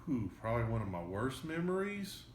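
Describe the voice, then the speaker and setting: A man's voice: medium pitch, very animated, brisk, a little hiss or hum. A man in his thirties telling his story in a documentary interview, with frequent uh fillers. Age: 30s. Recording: echoey room.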